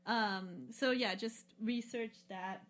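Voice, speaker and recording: A woman's voice: a little high, takes it slowly, a bit bright. Chatty woman with lots of fillers. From lives in Peru. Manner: chatty, many fillers, laughs often. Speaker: podcast guest. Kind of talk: casual travel chat.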